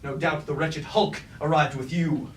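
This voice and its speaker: An actor playing a righteous, combative robot warrior in a staged tragedy, declaiming formal, archaic lines. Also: male voice, a little high, fairly even intonation, unhurried, lightly acted. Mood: accusing. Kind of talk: staged reading of a mock Greek tragedy. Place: indoor staged reading, mic far from actor. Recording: roomy, distant, noisy live stage recording.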